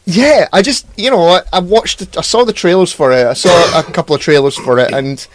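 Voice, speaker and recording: A man's voice: on the high side, a little fast, noisy background. A man co-hosting a podcast in casual, easygoing banter with some swearing. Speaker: podcast co-host. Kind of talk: casual chat.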